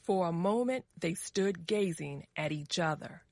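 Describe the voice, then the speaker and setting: Female story narrator, lowish register, measured pace, dramatic reading for English learners. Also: expressive, darkish tone, no echo, studio quality.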